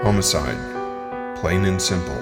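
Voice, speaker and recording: Male actor as sceptical coroner, low voice, blunt, matter-of-fact, horror audio drama, loud music. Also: slow. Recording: reverberant, loud music behind.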